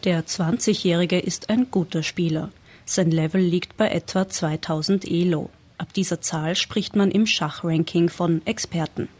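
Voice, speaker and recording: A woman reporter giving measured, scripted documentary narration. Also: lowish voice, fairly even intonation, a little mellow, husky. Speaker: radio reporter.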